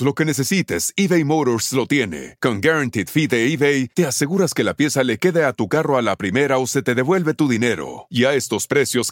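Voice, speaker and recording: A man's voice: medium pitch, dry recording, clean studio sound. A man reading a scripted commercial as an announcer. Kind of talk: scripted ad read.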